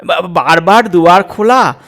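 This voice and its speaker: A man's voice: high-pitched, measured pace, fairly bright tone. A man voicing a meek, evasive young student son in a comic audio story, hesitant and placating. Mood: flustered.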